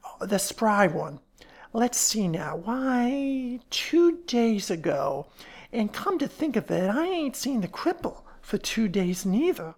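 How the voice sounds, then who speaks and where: A woman's voice: a little high, very animated, slow, darkish tone, voice pushed up, exaggerated voice. A woman voicing a stout, suspicious, fretful working-class caretaker's wife with colloquial, uneducated speech, in a storybook reading. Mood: puzzled, gossipy. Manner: stolid, colloquial uneducated speech, stammers when scared.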